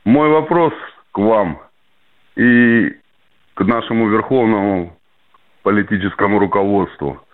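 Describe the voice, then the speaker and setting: A male caller on a muffled, lo-fi phone line speaks with a low, emphatic voice. He is indignant and presses his point hard. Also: a little slow, pauses often. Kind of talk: phone-in rant.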